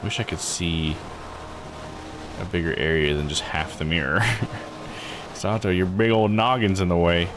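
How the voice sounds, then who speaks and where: Deep-voiced man casually commentating a game. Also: fairly even intonation, a little slow, pauses often, noisy background. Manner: thinks aloud, folksy slangy phrasing. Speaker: game streamer. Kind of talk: casual let's play commentary. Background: game music.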